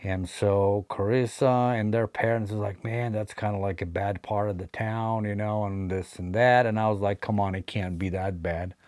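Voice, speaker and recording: A man's voice: fairly low pitch, steady tone, normal speed, few pauses, darkish tone, no echo. A man in his forties with a Latvian accent, casually telling a rambling story to his vlog audience, full of fillers and restarts. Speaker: vlogger, hat collector.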